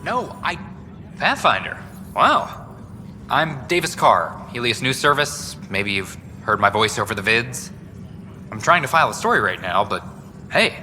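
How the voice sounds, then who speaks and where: An actor playing an overworked, earnest young news reporter in a video game, chatty, wry and self-deprecating. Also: male voice, mid-range voice, very animated, measured pace, bright, forceful tone, full character voice. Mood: flustered, eager. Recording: reverberant hall with background noise.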